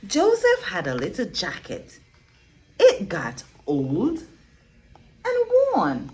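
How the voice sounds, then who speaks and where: A woman reading a picture book aloud to young children, expressive and teacherly, pausing for emphasis and asking her listeners questions. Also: medium pitch.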